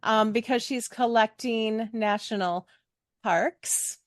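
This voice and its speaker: A woman's voice: fairly high pitch, takes it slowly, no echo, clean studio sound. A middle-aged American woman hosting a podcast in a casual, chatty, joking way. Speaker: podcast host.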